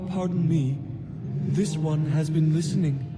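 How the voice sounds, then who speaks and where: A man's voice: on the high side, fairly even intonation, a little slow, long reverb tail, noisy background, close to an everyday voice. A man voicing a humble, reverent alien creature in a video game, speaking formally and self-effacingly. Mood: humble awe. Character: reverent, humble alien jellyfish-like creature worshipping an ancient race.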